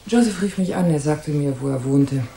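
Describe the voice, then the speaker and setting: A woman's voice: low voice, normal speed, gravelly, dark tone, a little hiss or hum. An actress playing a haughty, suspicious and scornful married woman in a German radio crime drama. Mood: explaining, terse. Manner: disdainful, imperious, guarded. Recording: roomy echo.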